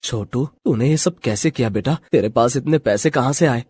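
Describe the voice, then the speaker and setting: A man playing an honest, earnest wronged hotel owner in an animated moral story, with dramatic delivery. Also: mid-range voice, normal speed, slightly rough, dry recording, natural voice. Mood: moved, puzzled.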